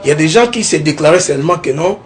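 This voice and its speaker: A young man from the Kongo Central region of DR Congo, a political candidate giving a TV interview, talking in a lively way and often tagging his points with a rising question. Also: fairly high pitch, fast talker. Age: young adult. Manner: animated, keeps adding "n'est-ce pas?", rising tone on points.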